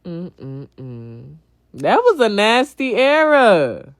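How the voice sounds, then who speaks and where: A woman's voice: medium pitch, huge pitch swings, drawn-out words, dry recording. Young woman livestreamer, blunt, close dry sound. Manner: blunt, profane, slangy; repeats phrases for emphasis. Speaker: livestream host. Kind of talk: casual livestream chat, answering viewers' comments.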